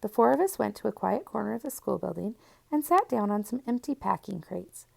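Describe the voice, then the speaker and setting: A woman narrates a children's novel in the first person. Her voice sits at a middle pitch with very heavy vocal fry, and she tells the story expressively. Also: expressive, fairly quick, a little mellow. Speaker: audiobook reader.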